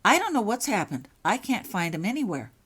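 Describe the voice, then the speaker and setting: A woman's voice: medium pitch, big pitch swings, a little fast, fairly bright tone, slightly rough, pitched above the performer's own voice. A woman voicing an earnest, concerned male wizard professor in a storybook reading, anxious and explanatory.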